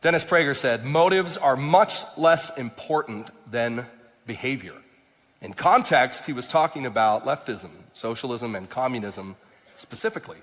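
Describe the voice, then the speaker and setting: A man's voice: medium pitch, lively intonation, normal speed, a bit bright. Emphatic man speaking in a church hall. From Mexico and Ecuador. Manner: emphatic, repeats words, rising on key points. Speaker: congressional candidate speaking at a church. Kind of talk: church talk with slides. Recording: long hall reverb.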